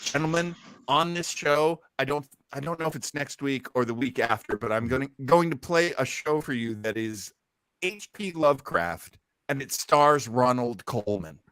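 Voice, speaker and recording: A man's voice: mid-range voice, expressive, moderate pace, a bit bright, smooth, dry recording, low-quality recording. A man hosting a listening party, giving casual, playful, joking commentary. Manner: playful, jokey. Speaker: host of an old-time radio listening party.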